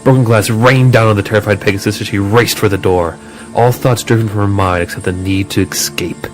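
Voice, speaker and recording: Low-voiced man narrating a horror story. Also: fairly even intonation, a little fast, faint music behind, rough recording.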